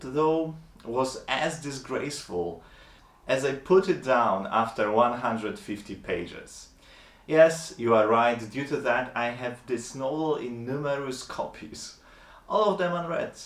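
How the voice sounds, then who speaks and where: Slow, thoughtful man. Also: medium pitch. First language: Polish. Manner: measured, thoughtful, slightly formal. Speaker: book reviewer. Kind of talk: reflective book review monologue. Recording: roomy echo.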